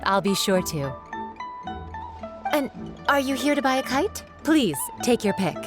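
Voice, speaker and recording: A woman's voice: fairly high pitch, sing-song, measured pace. Nice polite kite seller lady in a game, welcoming, faint music behind. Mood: grateful, welcoming. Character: friendly, grateful female kite shopkeeper, a devoted mother. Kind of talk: video game voice acting.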